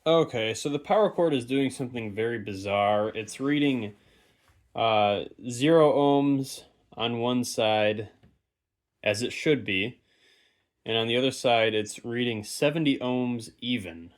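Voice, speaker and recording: A man's voice: mid-range voice, a little slow. A man casually narrating a radio repair unscripted, thinking aloud in an offhand way in a basement. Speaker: hobbyist radio repairer and presenter. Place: stone-lined basement.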